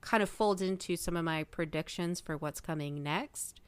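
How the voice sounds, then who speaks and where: A woman's voice: on the low side, moderate pace, clean tone, dry recording. A woman chatting casually and emphatically as a panelist in a fan discussion podcast.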